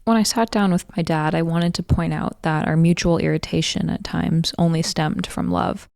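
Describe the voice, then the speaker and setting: A woman's voice: sits low, steady tone, moderate pace, vocal fry, darkish tone, dry recording, clean studio sound. A woman reading a scripted first-person personal essay as its writer.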